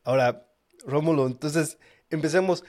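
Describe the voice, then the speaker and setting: A man's voice: on the high side, moderate pace, a little mellow. A man hosting a podcast interview, asking long, conversational, winding questions. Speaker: podcast host. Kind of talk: casual interview.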